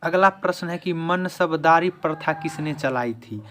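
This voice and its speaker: A man's voice: on the high side, a little slow, fairly bright tone, slight background noise. A man giving a quiz-style history lesson as an exam-prep teacher, restating each question and answer.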